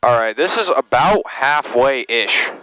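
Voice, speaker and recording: A man with a mid-pitched voice talks as the pilot of a small plane. He moves between casual remarks and radio calls. His headset intercom audio carries clear engine noise. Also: expressive, slow pace. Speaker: private pilot. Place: inside a small airplane cockpit. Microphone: aviation headset intercom. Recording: noisy cockpit intercom with engine drone.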